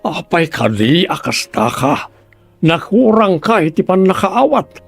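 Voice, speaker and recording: Bossy male nag, radio drama. Ilocano accent, high gravelly voice, music bed. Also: very animated, a little slow, no echo, full character voice. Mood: reproachful.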